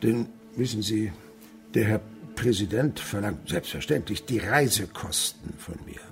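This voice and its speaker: An actor playing an older miserly, cunning winegrower in a German radio play, stammering evasively. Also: male voice, lowish voice, lively intonation, measured pace, creaky, faint music behind. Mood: guarded, haggling. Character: miserly, cunning provincial winegrower feigning hesitation.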